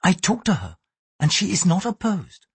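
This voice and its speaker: A man's voice: sits high, wildly swooping pitch, normal speed, vocal fry, a bit bright, slightly rough, no room sound, pitched above the performer's own voice, full character voice. A man reading aloud, voicing a poised young Japanese noblewoman with a formal, archaic way of speaking. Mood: heated. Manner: formal, archaic thee-and-thou speech, apologetic "so sorry".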